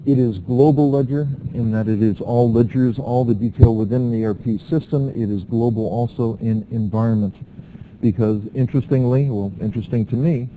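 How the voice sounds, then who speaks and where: An American man giving a university lecture, explaining step by step with frequent filler pauses. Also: medium pitch, dark tone, slight background noise, low-quality recording.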